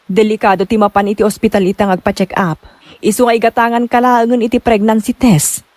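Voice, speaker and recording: A woman's voice: medium pitch, level tone, brisk, a little mellow, no echo, lightly acted. A woman with an Ilocano accent playing a concerned, outspoken confidante in a melodramatic drama, in an earnest, scolding manner. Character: concerned, outspoken woman advising her loved ones. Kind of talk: amateur melodramatic drama acting.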